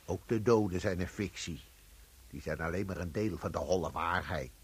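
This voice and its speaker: A cynical labourer in a radio drama, musing with dry resignation. His voice is deep and very husky. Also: normal speed. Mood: cynical, resigned. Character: cynical, philosophizing fellow corpse carrier. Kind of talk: Dutch radio play acting.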